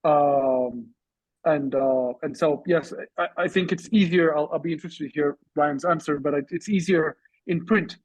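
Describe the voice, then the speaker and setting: A man with a husky voice giving measured, reflective, slightly hesitant answers as a guest in an online discussion. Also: fairly high pitch, dry recording, low-quality recording. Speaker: interview guest, author and journalist. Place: online video call.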